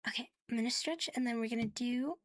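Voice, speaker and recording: A woman hosting a live ASMR stream, chatting casually and playfully in a soft, breathy voice that often slips into a whisper. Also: a little high, quick pace, a little hiss or hum. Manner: playful, giggly, teasing. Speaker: ASMR livestream host.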